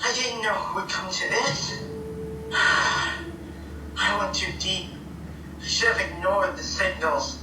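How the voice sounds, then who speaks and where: An actor playing a frightened accused broadcaster, halting and emotional, in a radio drama. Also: mid-range voice, distant mic, noisy background, close to an everyday voice. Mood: despairing regret. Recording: echoey playback over speakers, music behind.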